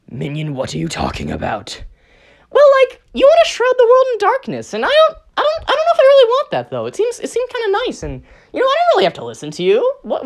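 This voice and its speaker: A woman voicing a cheerful, naive male cartoon rabbit come to life, talking in a squeaky, excitable, rambling way. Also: heavily acted.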